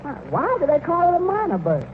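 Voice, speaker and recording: An actor playing a skeptical, wisecracking waiter who asks sly, innocent-sounding questions in a 1940s radio comedy. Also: male voice, extremely high pitch, huge pitch swings, a little fast, full character voice. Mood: sly, needling. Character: skeptical, wisecracking waiter, dryly needling his boss. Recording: noisy tinny old radio, audience behind.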